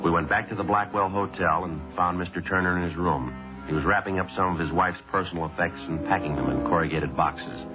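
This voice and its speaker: Casting: male actor as businesslike radio detective sergeant, very deep, brisk, flat delivery; vintage muffled sound with music. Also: close to an everyday voice. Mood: dry narration. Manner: deadpan, clipped. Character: dry, businesslike male police detective sergeant narrating a case. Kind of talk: 1950s radio police drama acting. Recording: muffled old-time radio recording with music.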